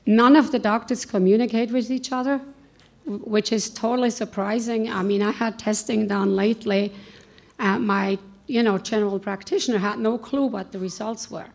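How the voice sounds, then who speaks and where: A woman's voice: mid-range voice, moderate pace, vocal fry. A woman from the United States making an earnest, insistent comment from the audience at a conference panel, speaking into a handheld microphone in a large hall. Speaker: audience member asking a question. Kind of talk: spontaneous comment from the floor.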